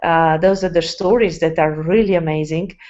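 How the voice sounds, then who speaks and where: A woman speaking English as a second language presents to her sales team with eager enthusiasm. She pauses often on fillers and restarts her sentences. Her voice sits low, and the sound is muffled and lo-fi, like an online call. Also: fairly even intonation, darkish tone. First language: not English. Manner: hesitant, many fillers and restarts. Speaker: network marketing presenter.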